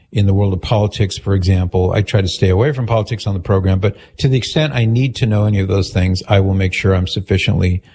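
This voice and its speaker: A male radio host speaking in a measured, reflective way as he gives a caller advice. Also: lowish voice, darkish tone, slightly rough. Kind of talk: call-in radio talk.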